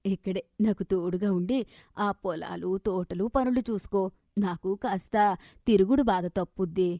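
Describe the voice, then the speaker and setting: A woman's voice: medium pitch, fairly even intonation, normal speed, dark tone, dry recording, studio quality, close to an everyday voice. A woman voicing an affectionate old village grandmother in a story, talking in a homely, colloquial way. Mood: warm, welcoming.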